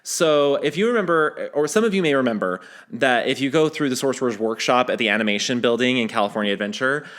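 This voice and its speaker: A man hosts a casual podcast and reads out the latest news. His voice sits at an ordinary middle pitch, and he talks in a loose, teasing way, often trailing off mid-thought and starting again. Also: animated, fast talker, hardly any pauses, fairly bright tone. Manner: conversational, teasing, trails off and restarts. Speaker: podcast host.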